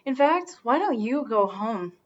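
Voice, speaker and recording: A woman acting in a comedy radio play as a curt, sardonic producer, snappish and deadpan. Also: on the high side, a little slow, muffled recording.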